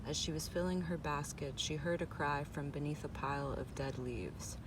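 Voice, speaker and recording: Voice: medium pitch, moderate pace. A reader telling a folktale aloud in storybook narration. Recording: noisy, distant microphone.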